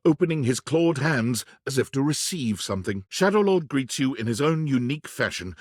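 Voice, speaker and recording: A man narrating a supernatural video game in the second person, with measured, theatrical scripted delivery. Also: mid-range voice, a bit bright, dry recording, studio quality.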